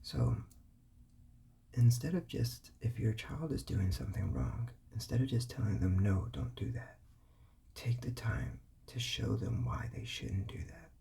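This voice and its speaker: A man whispering calm, patient parenting advice, repeating his points as he explains. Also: mid-range voice, measured pace. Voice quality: whispery, breathy, gravelly.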